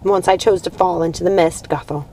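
A woman's voice: lowish voice, steady tone, fairly quick, mellow, a little hiss or hum, close to an everyday voice, low-quality recording. A woman voicing a cruel, proud witch queen mother in a commanding, theatrical manner for a storybook. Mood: intense, possessive.

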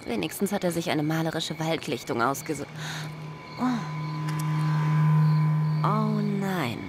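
An actress playing a brisk, capable woman sheriff in a radio play. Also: low-pitched, expressive, loud music behind the voice.

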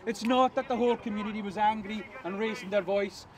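A middle-aged man speaking to a protest crowd without a megaphone, projecting his big voice forcefully. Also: sits high, steady tone, brisk. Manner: loud unamplified address, forceful. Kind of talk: street protest speech. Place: outdoors by a hotel car park. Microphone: far camera, no megaphone. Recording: distant outdoor voice, crowd noise.

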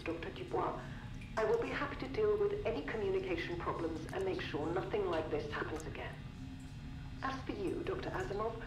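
An actress playing a composed, authoritative woman scientist in charge, measured and formal, in a video game audio log. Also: mid-range voice, close to an everyday voice. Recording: roomy, distant, noisy cassette tape recording.

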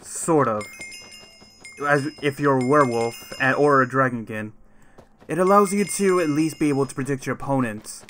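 A man's voice: mid-range voice, normal speed, a bit bright, natural voice. A man playing a patient, encouraging mentor who explains things a little formally in a gaming roleplay. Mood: calm, instructive. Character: patient mentor to young supernatural fighters. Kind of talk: improvised roleplay chat while gaming. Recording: faint music behind the voice.

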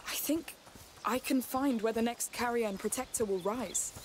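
An actress playing a gentle, weary but resolute young woman in a dramatic video game, speaking softly and earnestly. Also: fairly high pitch, moderate pace, a little hiss or hum, lightly acted.

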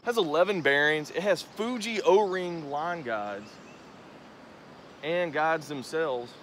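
A man's voice: a little high, slight background noise. Chatty young man fishing by a river. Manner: relaxed, chatty, explains while casting. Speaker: fishing channel host. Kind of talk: casual fishing vlog narration. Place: riverbank outdoors by a bridge. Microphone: tripod camera at a distance. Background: rushing river current.

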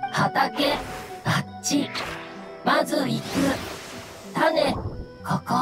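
A woman voicing a small, earnest mushroom creature in a game, speaking in halting single words. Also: high voice. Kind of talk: scripted game creature dialogue. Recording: music under the voice.

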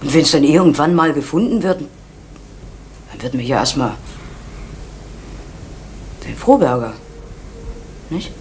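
A woman's voice: sits low, animated, a little slow, pauses often, a little mellow. An actress playing a pragmatic, controlling middle-aged mother in an East German radio drama, urging and reproachful. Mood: persuading. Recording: old radio recording, faint noise.

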